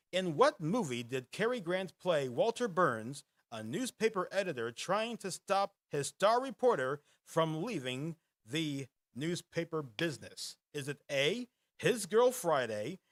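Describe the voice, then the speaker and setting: A man with a fairly high, bright voice hosts a casual podcast in a booming, radio-style delivery. His pitch swings all over as he rambles through news bits and reads quiz questions aloud. He laughs a lot along the way. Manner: chatty, rambling, laughs often. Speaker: podcast host.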